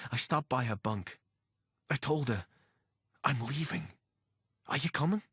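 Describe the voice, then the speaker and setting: Low, lively-pitched wry soldier. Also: male voice, husky, dry recording, clean studio sound, exaggerated voice. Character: anxious, wry male deserter soldier and pilot. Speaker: voice actor for a companion character. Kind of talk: video game character dialogue.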